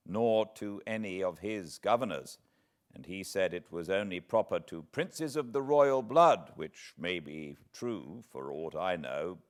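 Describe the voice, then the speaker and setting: A British man, an audiobook narrator, reading a historical autobiography aloud in a measured, expressive storytelling manner. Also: low voice. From Britain.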